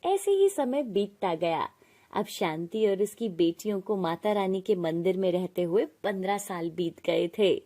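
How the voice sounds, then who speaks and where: A woman's voice: medium pitch, expressive, measured pace, mellow. A woman reading a scripted moral story as its narrator.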